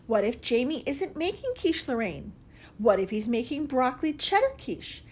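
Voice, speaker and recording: A woman's voice: high voice, big pitch swings, normal speed, husky, voice pushed up, full character voice. A woman voicing a silly, wobbly male egg who taunts in a sing-song way. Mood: mocking.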